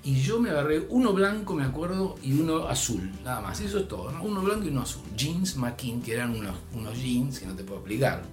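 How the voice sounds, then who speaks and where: A man's voice: medium pitch, quick pace, hardly any pauses. Older guy w argentine accent spinning a story, voice goes up n down, faint music behind. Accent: Argentine Spanish. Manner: animated, voice rising and dropping with the story. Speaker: storyteller guest. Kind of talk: casual anecdote.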